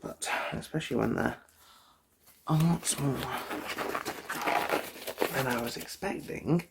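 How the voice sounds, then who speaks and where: A soft-spoken, often whispering person doing an ASMR eye exam roleplay, chatting gently and politely without hurry, close to the microphone. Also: mid-range voice, gravelly, heavy background noise. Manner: gentle, unhurried, polite chatty bedside patter. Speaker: ASMR performer playing an eye doctor.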